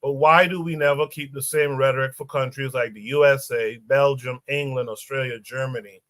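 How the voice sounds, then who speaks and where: Halting male podcast host, dry sound. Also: mid-range voice, steady tone, fairly bright tone, dry recording. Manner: reads tweets aloud, halting with fillers. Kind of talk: livestream panel discussion.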